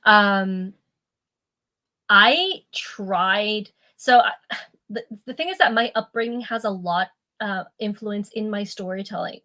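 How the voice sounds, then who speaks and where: A woman storyteller from Peru, raised in Canada, speaks as a guest in casual conversation. She talks in a stop-start, self-deprecating way, often restarting her sentences. Her pitch is ordinary and mid-range. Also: slow, bright, forceful tone. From born in Peru, raised in Canada.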